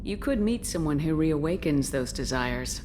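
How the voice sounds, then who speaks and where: A woman's voice: low-pitched, animated, moderate pace, background noise. An actress playing a composed, direct female commander in a sci-fi video game, speaking plainly and probingly. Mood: curious, composed. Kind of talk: dramatic game dialogue.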